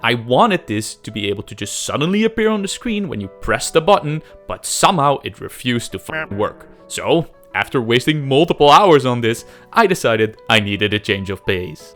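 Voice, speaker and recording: A man's voice: medium pitch, lively intonation, normal speed, fairly bright tone, faint music behind. A man narrating a scripted, comedic game development video with self-deprecating, exaggerated emphasis and some swearing. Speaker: host, amateur game developer narrating a devlog.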